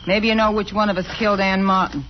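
An actor playing a defiant, mouthy crook in a 1940s radio drama, heard on a muffled, noisy recording. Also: male voice, sits high, level tone, fast talker, close to an everyday voice. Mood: defiant, sneering. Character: defiant, mouthy male crook facing down a detective.

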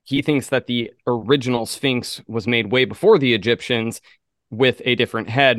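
A man's voice: mid-range voice, fairly bright tone, no echo, clean studio sound. A man co-hosting a podcast, explaining things in a casual conversational way. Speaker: podcast co-host.

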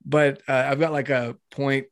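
A man's voice: medium pitch, little pitch movement, unhurried, no echo, studio quality. A man from North Carolina in casual podcast chat, talking at length with frequent laughs and fillers. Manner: chatty, laughs often, lots of fillers.

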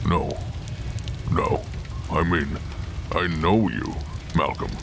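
A man playing a bitter, weary, centuries-old Santa Claus with a slow, taunting delivery in an audio drama. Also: very deep voice, gravelly, noisy background, full character voice. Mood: knowing, menacing. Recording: music and rain under the voice.

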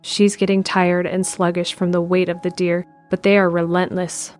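A woman narrating an audio drama in a measured, descriptive way. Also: lowish voice, level tone, darkish tone, soft background music. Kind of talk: scripted narration.

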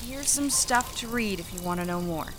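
A woman's voice: medium pitch, animated, moderate pace, background noise, natural voice. An actress playing an earnest young American woman labor organizer in an audio drama, impassioned, idealistic and persuasive. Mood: hopeful, quiet. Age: young adult.

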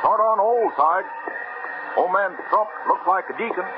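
An actor playing a wry, laconic small-town driver in an old radio drama, with deadpan rustic delivery. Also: male voice, high-pitched, moderate pace, loud music behind the voice, natural voice. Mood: wary, ominous. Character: folksy small-town driver, wry, ominous and dryly joking. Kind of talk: 1950s radio drama acting. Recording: tinny, noisy old radio recording.

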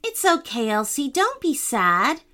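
A woman's voice: high-pitched, big pitch swings, a little slow, bright, forceful tone, soft background music, pitched above the performer's own voice, heavily acted. Woman doing a brave little girl, Mary. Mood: gentle.

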